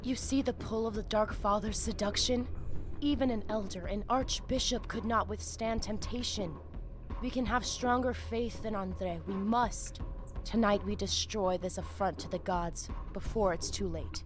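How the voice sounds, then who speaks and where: A woman's voice: medium pitch, unhurried, close to an everyday voice. A woman voicing a zealous female vampire in a video game, speaking in a solemn, fervent, preaching way. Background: loud game music.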